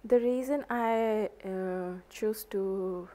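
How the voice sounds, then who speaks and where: A woman with an Indian English accent, a native Hindi speaker, narrating an art journaling tutorial in a halting way, full of ums and uhs. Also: medium pitch, dark tone. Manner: slow, hesitant, many ums and uhs. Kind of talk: crafting tutorial voice-over.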